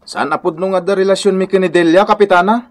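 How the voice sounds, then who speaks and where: A man's voice: sits high, steady tone, quick pace, close to an everyday voice. An actor playing a falsely accused village man, defensive and insistent, in a Filipino radio drama. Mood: aggrieved. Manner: protesting, insistent.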